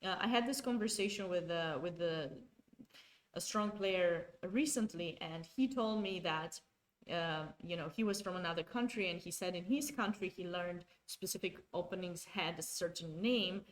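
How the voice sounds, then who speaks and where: A woman's voice: on the low side, moderate pace. Chatty woman commentator. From Romania. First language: Romanian. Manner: chatty, self-correcting, talks to chat. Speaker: chess commentator. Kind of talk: live-stream game commentary.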